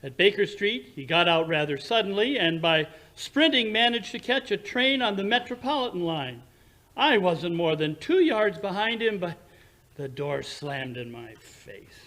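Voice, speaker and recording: Casting: man as brisk, condescending detective, higher-pitched male voice, precise and impatient, old-time radio drama. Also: lively intonation, pushed and bright, heavily acted. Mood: irritated. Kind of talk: 1940s radio drama acting.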